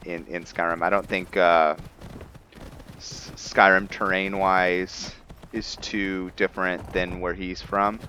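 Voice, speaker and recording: Male gameplay commentator, low pitch, slow delivery, musing and hesitant, unscripted. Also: a bit bright, a little hiss or hum. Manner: hesitant, musing, thinking aloud. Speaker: gaming video host, role-playing a character.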